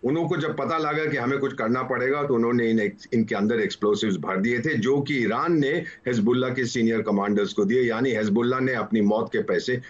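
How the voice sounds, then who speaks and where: Husky elderly Indian-accented man, courtly. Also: medium pitch, a little fast, hardly any pauses. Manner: courtly, addresses host with deference. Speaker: former diplomat, panel guest. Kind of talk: panel commentary. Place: at home. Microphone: video call. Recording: dull lo-fi video call sound.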